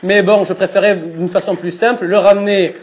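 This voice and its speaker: A man with a French accent making a prank phone call, mock-formal and deadpan, fighting back laughter. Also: high voice, brisk, slight background noise. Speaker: prank caller.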